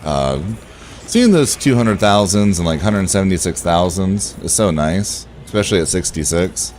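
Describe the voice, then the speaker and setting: A man with a relaxed voice, chatting casually to viewers as he streams a game and thinks aloud. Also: deep voice, moderate pace, slight background noise. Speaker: game livestreamer. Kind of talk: casual livestream chat over gameplay.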